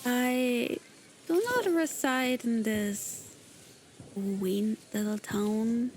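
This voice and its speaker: A woman's voice: a little high, a little mellow, slight background noise. A woman voicing an aloof, haughty non-human lady. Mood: condescending. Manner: slow, languid, disdainful drawl. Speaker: virtual streamer voicing her persona. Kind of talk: scripted roleplay addressed to the listener.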